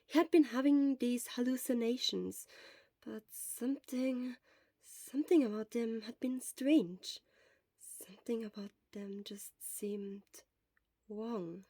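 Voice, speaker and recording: High-voiced woman narrating a story. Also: takes it slowly, dark tone, no echo. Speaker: podfic narrator.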